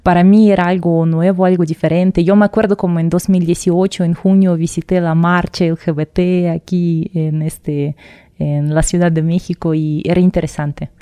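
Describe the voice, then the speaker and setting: A young woman explaining things in an unhurried way, her voice low for a woman and dark in tone. The sound is dry and close, with no room around it. She talks thoughtfully, restarting words now and then. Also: flat delivery, smooth, dry recording. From Russia. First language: Russian. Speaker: interview guest. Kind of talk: casual interview conversation.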